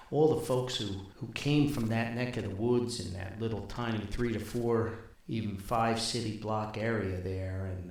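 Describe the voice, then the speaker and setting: A man's voice: lowish voice, gravelly. Older male podcast host, folksy and rambling, nostalgic monologue, very reverberant recording. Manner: folksy, rambling, halting with repeated words.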